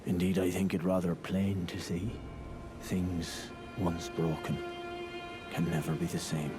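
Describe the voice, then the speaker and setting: A man playing a proud, dour, reserved warrior in a fantasy game, with stern and deliberate archaic speech. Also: low voice, flat delivery, loud music behind the voice. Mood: gruffly wistful. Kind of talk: dramatic fantasy game dialogue.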